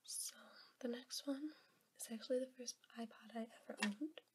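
A young woman whispering softly for an ASMR video while sick, rambling with ums. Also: a little high, slightly rough. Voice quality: whispered, soft. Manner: slow, rambling, with ums and soft laughs. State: sick, voice a bit rough. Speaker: ASMR creator. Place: at a table indoors.

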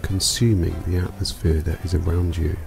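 A man narrating a scripted guided meditation for sleep in a calm, soothing, unhurried manner. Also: low voice, gravelly. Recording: music bed behind voice. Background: music.

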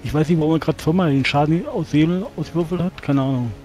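A man's voice: fairly high pitch, quick pace. A man chatting casually as he works out numbers in a tabletop game. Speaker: tabletop role-playing player. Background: music.

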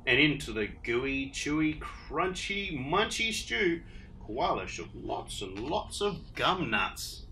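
Animated man reading a storybook. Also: on the high side, slow pace, slight background noise. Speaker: story reader, host. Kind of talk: expressive children's storybook narration.

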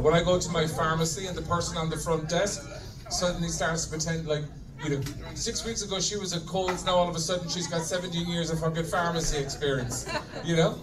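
A middle-aged Irish man works a club crowd with a deadpan, high-pitched voice. He swears freely and calls the room folks. The recording sounds distant, with loud audience laughter around him. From Ireland. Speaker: stand-up comedian. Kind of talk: stand-up comedy routine. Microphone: handheld mic, filmed from the audience.

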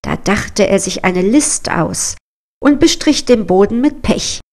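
A woman reads a fairy tale as a storyteller. Her voice sits at a middle pitch, and she takes her time, with a measured, expressive storybook delivery. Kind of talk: scripted fairy-tale narration.

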